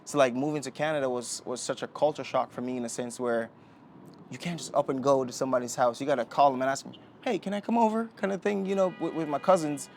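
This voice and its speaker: A young man from Jamaica talking as a podcast guest, relaxed and rambling with many fillers and restarts. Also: medium pitch, quick pace, creaky, slight background noise. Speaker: guest, Olympic sprinter. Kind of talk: casual interview talk. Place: indoor podcast setting.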